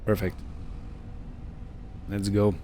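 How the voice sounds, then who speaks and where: A man giving casual roleplay gameplay commentary, unhurried and thinking aloud as he goes. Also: on the low side, completely flat delivery, warm tone, a little hiss or hum. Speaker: gaming video host.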